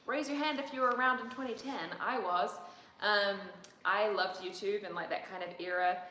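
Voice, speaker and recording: A woman's voice: medium pitch, moderate pace, pushed and bright, slight background noise. Chatty young woman in an echoey room. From New Zealand. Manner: chatty, rambling, laughs often. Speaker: co-host of a livestream. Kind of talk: casual livestream chat.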